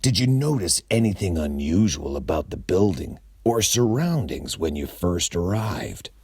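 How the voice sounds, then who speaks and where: A man's voice: low-pitched, very animated, a little mellow, slightly rough, no echo, heavily acted. A man doing a serious, pondering detective. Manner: measured, pondering, clipped. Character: serious, thoughtful male detective.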